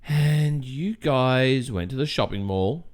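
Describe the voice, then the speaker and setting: Creepy, sweetly possessive Australian boyfriend played by a man for ASMR audio drama: unhurried, hesitant delivery with very changeable melody. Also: medium pitch, lively intonation. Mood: fond, obsessive. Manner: halting, drawn-out phrasing with hesitant murmurs and nervous chuckles. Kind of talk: ASMR roleplay, intimate monologue addressed to listener.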